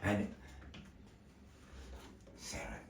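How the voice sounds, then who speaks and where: Guy hosting a puppet show, over-the-top showman vibe, far off the mic in an echoey gallery with faint noise behind. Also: extremely slow. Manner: flamboyant showman, mock-dramatic. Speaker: master of ceremonies at a puppet show. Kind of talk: theatrical stage compering. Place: indoor gallery venue. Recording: roomy, distant, faint background noise.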